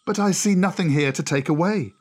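A man's voice: high-pitched, brisk, a little mellow, pitched above the performer's own voice. A man voicing a bold, courteous jungle-raised boy in a storybook, with a direct, spirited manner. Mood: bold, curious. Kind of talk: storybook character voice.

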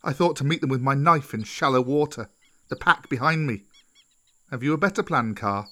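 A man's voice: medium pitch, animated, normal speed, pushed and bright. Man playing a bold young wolf-raised hero. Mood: determined. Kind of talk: children's storybook character voice.

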